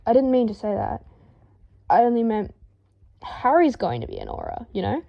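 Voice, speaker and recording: Woman acting a loud, eager young man. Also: on the high side, normal speed, creaky, lo-fi sound, heavily acted. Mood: flustered, insistent. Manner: blurting, excitable, overloud. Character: loud, eager, tactless young male wizard, awkwardly boastful. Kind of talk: audiobook character voice.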